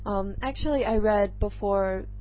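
A woman's voice: mid-range voice, unhurried. Nervous rambling woman, mixed mostly-american accent. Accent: mixed, mostly American-sounding. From England. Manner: nervous and rambling, lots of ums. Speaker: podcast host. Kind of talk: casual solo chat. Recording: dull lo-fi recording, faint music.

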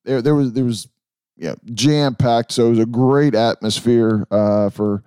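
A man hosting a casual sports podcast, a bit hoarse, talking in a rambling, halting way. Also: mid-range voice, mellow, no room sound, studio quality. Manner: rambling, halting, with many restarts and fillers. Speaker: podcast host.

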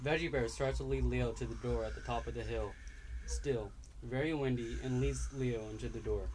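Steady male story narrator. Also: medium pitch, moderate pace, a little hiss or hum, low-quality recording. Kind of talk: read-aloud story narration.

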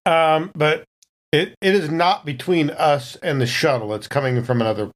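A man's voice: on the high side, normal speed. A man chatting casually as a player in a tabletop game, with a wry, jokey manner.

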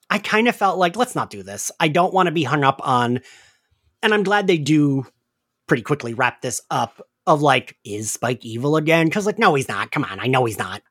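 A man's voice: mid-range voice, fairly bright tone, no echo, studio quality. A man hosting a podcast in a casual, chatty and emphatic conversational way. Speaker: podcast host. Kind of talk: casual discussion.

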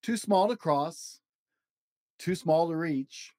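A man hosting a storytime show and reading a children's book aloud expressively, in deliberate short phrases with an encouraging manner. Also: fairly high pitch, warm tone, dry recording, clean studio sound. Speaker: podcast host reading picture books aloud.

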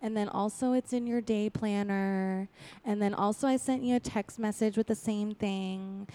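A woman's voice: medium pitch, fairly even intonation, moderate pace, dark tone, clear, resonant tone, natural voice. A young woman improvising comic female characters in a radio play parody, with casual, chatty phrasing. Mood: fed up. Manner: casual, giggly, filler-heavy. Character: exasperated secretary; later excitable girl exploring magic room.

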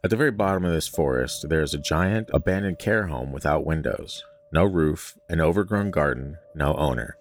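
A man's voice: a bass voice, expressive, normal speed, few pauses, a little hiss or hum. A man hosting a podcast, chatting casually and reading stories aloud in an informal way with joking asides. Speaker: podcast host.

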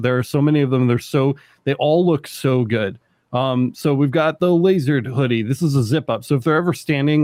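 A man in his thirties hosting a casual livestream, talking in a rambling, relaxed way full of fillers. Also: mid-range voice, no room sound. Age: 30s. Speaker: livestream host.

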